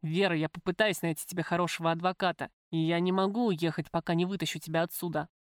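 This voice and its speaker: A woman voicing a tender, worried male lover in an audiobook, speaking softly and earnestly. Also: lowish voice, steady tone, fast, clear, resonant tone, no echo, clean studio sound, lightly acted. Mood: soothing, tender. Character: tender, worried man visiting his imprisoned lover.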